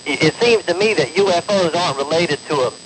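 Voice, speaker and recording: A man phoning in to a radio show, talking in a halting, hesitant and earnest-sounding way. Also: on the high side, a loud crowd. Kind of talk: hesitant phone-in conversation. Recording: noisy radio phone call with clicks.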